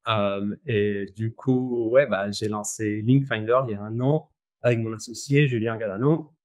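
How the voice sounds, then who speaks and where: A man with an Argentine accent, talking casually as an interview guest, relaxed and slangy, with frequent fillers and restarts. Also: lowish voice, measured pace, no room sound, clean studio sound. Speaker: guest, company founder.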